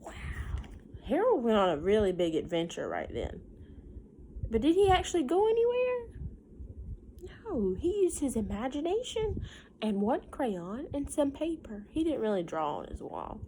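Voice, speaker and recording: A woman reads a storybook aloud like a teacher with a class of little ones. Her pitch moves up and down in a lively way as she shifts between the text and gentle, chatty prompts. There is clear noise behind her. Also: normal speed. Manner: expressive, gentle teacherly talk, prompting listeners with questions. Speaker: teacher reading a picture book aloud. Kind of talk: storybook read-aloud with chatty asides to young children.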